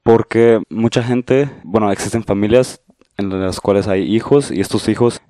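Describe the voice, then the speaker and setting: A man from Guatemala in his thirties, with a Guatemalan Spanish accent, calmly and modestly explaining his country as a podcast guest. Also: fairly low pitch, no room sound. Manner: calm, measured, modest explainer. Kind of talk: casual explanatory interview talk.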